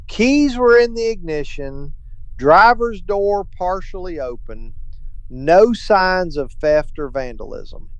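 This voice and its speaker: High-pitched, exasperated police officer. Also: male voice, animated, takes it slowly, clean tone, no echo, full character voice, poor audio. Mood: exasperated, brusque. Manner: brusque, exasperated. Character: gruff, impatient, exasperated male police officer. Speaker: actor in audio drama. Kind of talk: audio drama acting.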